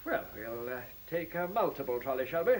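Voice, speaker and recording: A man's voice: mid-range voice, big pitch swings, brisk, natural voice. An actor in a radio play voicing a fussy, eager male computer engineer who explains things politely and a little hesitantly. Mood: eager explaining. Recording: faint hiss on old radio recording.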